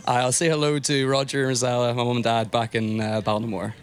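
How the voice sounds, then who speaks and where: A man's voice: mid-range voice, little pitch movement, fast talker. A Northern Irish man answering an interviewer's questions in casual chat. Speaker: event-goer being interviewed.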